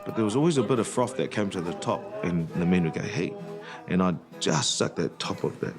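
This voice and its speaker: Low-voiced New man recalling his past. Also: lively intonation, fast. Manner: candid, reflective recollection. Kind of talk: personal documentary interview. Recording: music playing clearly behind the voice. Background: music.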